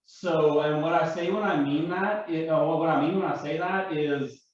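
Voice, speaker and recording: A man in an unscripted panel discussion, explaining his view in a halting, reflective way. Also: a little high. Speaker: panel guest and larper. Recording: echoey, distant, dull microphone.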